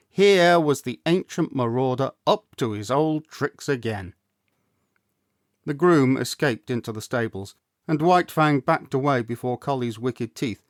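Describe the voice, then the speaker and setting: A man narrates a storybook with an actor's expressive, theatrical flair. His mid-pitched voice is measured and deliberate. The sound is dry, with very little room in it. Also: dry recording. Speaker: audiobook reader, actor.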